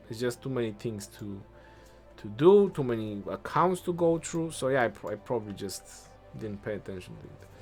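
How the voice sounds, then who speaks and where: A young man with an Eastern European accent, talking at an ordinary middle pitch. He answers viewer questions in a relaxed, think-aloud way, with restarts and trailing phrases. Soft music plays quietly behind him. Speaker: game streamer. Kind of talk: casual live-stream Q&A reading chat.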